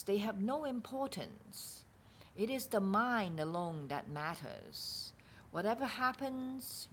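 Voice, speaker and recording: A woman informally reading a spiritual dialogue aloud as a teacher, with a deliberate, expressive and at times halting delivery. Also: medium pitch. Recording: background room noise.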